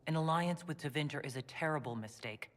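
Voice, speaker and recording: An actor playing a composed, forthright fantasy game hero, speaking in a measured, direct and diplomatic way. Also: male voice, on the high side, a bit bright, close to an everyday voice. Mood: composed, probing. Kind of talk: video game cutscene acting. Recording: long hall reverb.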